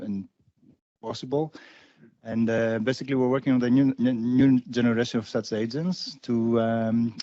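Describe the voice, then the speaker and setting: A man founder giving a short, hesitant company pitch, full of fillers. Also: dark tone, dry recording, dull audio.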